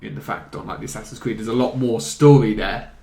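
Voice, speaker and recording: A man's voice: lowish voice, quick pace, creaky, dark tone. A man co-hosting a podcast, talking informally in a casual discussion and feeling his way through his phrasing. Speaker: podcast co-host. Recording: echoey room.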